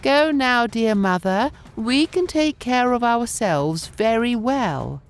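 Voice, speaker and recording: Woman playing high-pitched, childlike little storybook goats. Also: lively intonation, takes it slowly. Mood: reassuring. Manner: high, childlike, emphatic delivery. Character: brave, wary little goat children.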